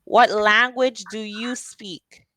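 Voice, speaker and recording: Woman, English tutor, video call, slow patient questions, dry close sound. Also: medium pitch, fairly even intonation, pushed and bright, dry recording. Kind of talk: casual tutoring conversation.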